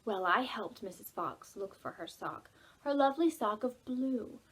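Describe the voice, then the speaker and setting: A woman leading preschool storytime, reading aloud in an expressive, playful way and speaking directly to young children. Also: mid-range voice, a little slow, breathy edge, low-quality recording.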